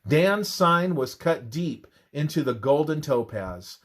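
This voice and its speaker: A man reading a scripted religious narration in a measured, expressive manner. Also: a little high.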